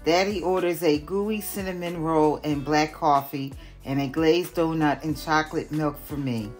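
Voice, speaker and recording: An older woman, a retired teacher, reading a picture book aloud in careful, deliberate narration. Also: low-pitched, fairly even intonation, faint music behind.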